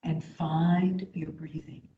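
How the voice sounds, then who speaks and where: Female meditation instructor, very slow and soothing, low-pitched, recorded in an echoey room with slightly dull sound. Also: flat delivery, slight background noise. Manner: soothing, unhurried, gentle. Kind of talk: guided meditation.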